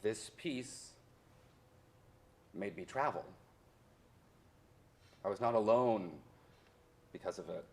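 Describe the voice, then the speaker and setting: A man playing a haunted, defiant history professor in a theatrical monologue, speaking deliberately and with dramatic emphasis. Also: on the low side, darkish tone. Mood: wistful, earnest. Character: haunted Mexican-born history professor orphaned by deportation, wounded, defiant. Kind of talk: theatrical monologue in a staged reading.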